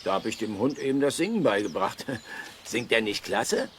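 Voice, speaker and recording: Casting: slangy long-haired biker hermit, low animated voice, easygoing, children's audio drama with outdoor noise behind. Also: male voice, moderate pace. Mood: chatty, proud. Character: laid-back long-haired biker loner man playing saxophone outdoors. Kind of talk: German children's radio play acting.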